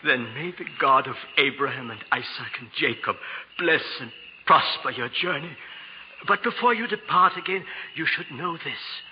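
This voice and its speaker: An actor in a 1940s radio drama playing a frail, grateful sick Hebrew man who speaks in formal, halting biblical phrases. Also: mid-range voice, animated, slight background noise, lightly acted. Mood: grateful, reverent. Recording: muffled, reverberant 1940s radio recording.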